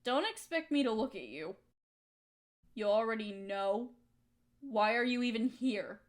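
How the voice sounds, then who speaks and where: A woman's voice: a little high, lively intonation, measured pace. A young woman acting a hurt, resentful friend in a dramatic audio roleplay, speaking in an emotional, accusing way. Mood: subdued, wary.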